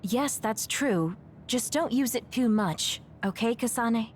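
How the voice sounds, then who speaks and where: An actress playing a gentle, caring young female soldier who speaks softly in an anime game dub. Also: a little high, animated, takes it slowly, slight background noise. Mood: concerned, kind.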